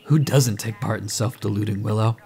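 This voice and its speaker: A smug smooth-talking inventor, teasing, boastful, audio drama with some music under. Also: male voice, on the low side, brisk, mellow. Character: cocky, glib inventor and self-styled man of science.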